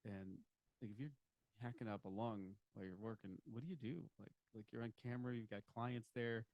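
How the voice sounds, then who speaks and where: A man's voice: fairly low pitch, fairly even intonation, fairly quick, no room sound, highs cut off. A man co-hosting a casual podcast chat, rambling and joking as he talks, often restarting his sentences. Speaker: podcast co-host. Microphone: home podcast mic, video recorded.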